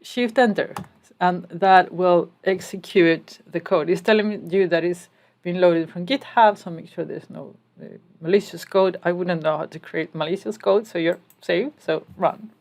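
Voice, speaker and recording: A woman's voice: fairly low pitch, measured pace, darkish tone. Woman teaching code over keyboard clicks. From Spain. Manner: explains step by step while typing, casual asides. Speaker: programming instructor. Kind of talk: screencast coding tutorial.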